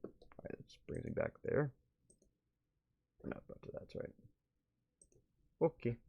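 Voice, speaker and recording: A man's voice: a little slow, warm tone, lo-fi sound. A man live streaming a game, moving between reading on-screen text aloud and offhand, muttered reactions.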